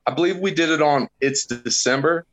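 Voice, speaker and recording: A man's voice: on the high side, a little fast, a bit bright. A man in his thirties, a podcast guest telling crude, joking stories with lots of swearing in casual chat. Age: 30s. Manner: profane, joking storyteller.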